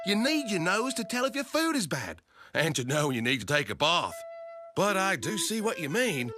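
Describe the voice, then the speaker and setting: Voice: medium pitch, sing-song, normal speed, natural voice. A cartoon performer voicing a brash wildlife expert with a put-on Australian accent, hearty and blustery. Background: music.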